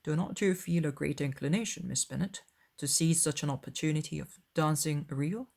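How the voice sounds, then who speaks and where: A woman voicing a proud, reserved gentleman in a dramatised audiobook, in a measured, formal and exacting way. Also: low-pitched, fairly even intonation, vocal fry. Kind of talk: dramatised audiobook of a classic novel.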